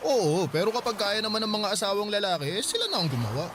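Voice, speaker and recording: A man's voice: sits high, sing-song, quick pace, over music. A man playing a caring, protective young husband who frets over his wife in a radio drama. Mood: tender, insistent.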